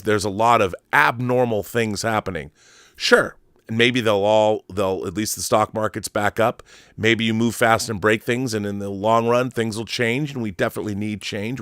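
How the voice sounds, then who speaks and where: Low-voiced man, rambling commentary. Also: a bit bright, no echo, clean studio sound. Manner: conversational, digressive, emphatic. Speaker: host of a film and book commentary show. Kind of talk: casual rambling opinion commentary.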